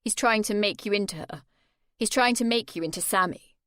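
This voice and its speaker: A woman voicing an earnest young man who speaks gravely and steadily. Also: medium pitch, fairly quick, a bit bright, no echo, clean studio sound, natural voice.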